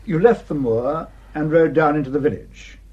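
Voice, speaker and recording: A man's voice: a little high, normal speed, breathy edge, narrow, tinny audio. A man acting a sardonic police superintendent in a radio drama, questioning with wry insistence. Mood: dry, probing. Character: sardonic, probing police superintendent investigating a murder. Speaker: actor.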